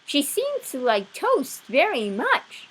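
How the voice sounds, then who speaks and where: A woman's voice: high voice, huge pitch swings, takes it slowly, a bit bright, pitched above the performer's own voice, exaggerated voice, poor audio. A woman voicing a kind, eager younger sister in a children's storybook, sweet and helpful in manner. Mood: eager, tender.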